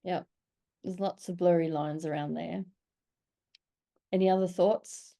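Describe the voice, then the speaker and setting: Woman facilitator, mellow and gravelly, who asks probing questions. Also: lowish voice, fairly even intonation, a little slow. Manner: probing questions, brief affirmations. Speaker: discussion facilitator.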